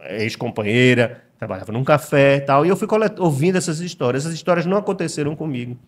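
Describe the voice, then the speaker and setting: A middle-aged man from Recife with a Pernambuco Brazilian accent, speaking as a guest writer in a relaxed interview and often stopping to repeat words as he thinks. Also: a little high, fast talker. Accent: Pernambuco Brazilian Portuguese. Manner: reflective, frequent hesitations and repeated words. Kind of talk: conversational interview.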